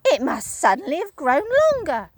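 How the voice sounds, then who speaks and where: A shrill old woman in a fluster, her voice leaping high and cracking with panic. She is foolish and spiteful, and every word sounds like a shriek of alarm. It is recorded close and dry. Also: big pitch swings, moderate pace, no room sound.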